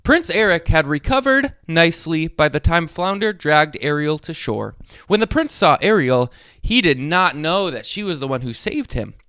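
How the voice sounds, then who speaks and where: A man tells a story for young children in a high, clear voice. His delivery swings widely in pitch and stays expressive, as if talking straight to little kids. The sound is dry and close. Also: moderate pace, no echo. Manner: expressive, addresses young listeners directly. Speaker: storyteller, host of a read-along channel. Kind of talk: animated children's read-aloud with short asides.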